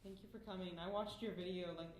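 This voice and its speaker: A woman from Brazil asks questions quickly, in a low-pitched voice heard from off-mic. The echoey room carries clear background noise. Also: steady tone. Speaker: interviewer. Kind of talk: interview questions. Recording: distant, echoey, noisy room.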